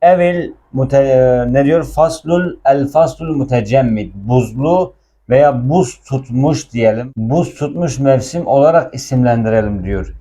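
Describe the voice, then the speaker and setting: Male language teacher, soft-edged mellow tone, informal explanatory delivery with patient repetition. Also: mid-range voice, unhurried.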